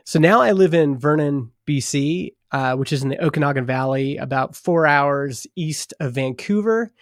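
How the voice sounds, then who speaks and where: A man's voice: on the high side, normal speed, no echo, studio quality. A man from northern Alberta with a Canadian accent, chatting casually as a guest on a podcast. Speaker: podcast guest, startup founder. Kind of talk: casual interview chat.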